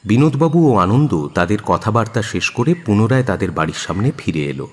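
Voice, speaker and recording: Male narrator, low husky voice, expressive intonation, audio story, long reverb. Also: fairly quick.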